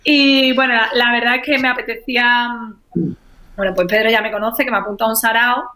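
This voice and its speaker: A woman guest in a casual chat, telling stories in a relaxed way with fillers and wry irony. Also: fairly high pitch, brisk. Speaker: guest, actress in a short film.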